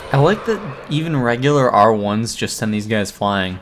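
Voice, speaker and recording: A man commentating his own game playthrough, relaxed and chatty, a bit hoarse from losing his voice. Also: lowish voice, normal speed, a little hiss or hum. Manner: relaxed, chatty, talks to viewers. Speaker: gaming commentator. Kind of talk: let's play commentary.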